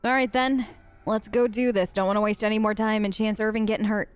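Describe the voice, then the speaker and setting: A woman's voice: mid-range voice, little pitch movement, brisk, clean tone, no echo. A woman hosting a role-play let's play, improvising her character's lines in casual, earnest, informal talk.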